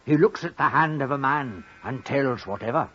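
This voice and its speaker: An actor playing a coaxing, scheming rural thief with a husky voice in a 1950s radio drama. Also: male voice, mid-range voice, animated, normal speed, fairly bright tone. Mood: coaxing, jovial. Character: smooth-talking, scheming rural English thief. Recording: muffled old 1950s radio recording.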